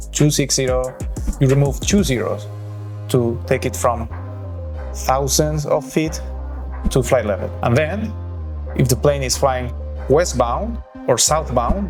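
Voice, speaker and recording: This is a man with a Spanish accent and a mid-range voice, presenting an explainer. He narrates in an instructional, lightly scripted style. Music plays clearly behind him as he talks. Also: medium pitch. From Spain.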